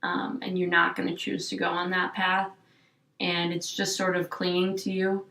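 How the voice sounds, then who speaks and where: A woman's voice: lowish voice, completely flat delivery, moderate pace, creaky, bright, forceful tone, far from the mic. A young woman in her twenties in a candid interview, thinking aloud in a rambling, reflective way, with lots of "like". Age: 20s. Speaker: guest. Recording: echoey room, a bit off-mic.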